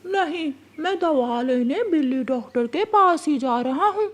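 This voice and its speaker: Woman doing a wheedling, high-voiced male fox. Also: expressive, unhurried. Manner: wheedling, falsely friendly. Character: cunning, smooth-talking, servile male fox. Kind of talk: children's animal cartoon voice acting.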